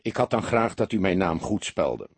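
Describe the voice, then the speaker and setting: Man playing a calm, laconic police detective, slightly husky. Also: sits low, dry recording, pitched below the performer's own voice, exaggerated voice. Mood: dry, resigned. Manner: unhurried, dry, politely provocative. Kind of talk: audiobook character voice.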